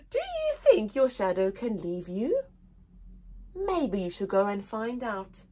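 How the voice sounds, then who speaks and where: Slow, high, very animated woman storyteller. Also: slightly rough. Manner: expressive, exaggerated wonder, sing-song storytelling for toddlers. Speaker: children's story-time teacher and host. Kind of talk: animated read-aloud for young children.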